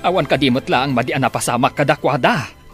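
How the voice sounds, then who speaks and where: A man plays a concerned, steady family man in a radio drama. His voice is mid-pitched, with an Ilocano accent, over faint music. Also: fast talker, natural voice. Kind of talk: Filipino radio drama acting.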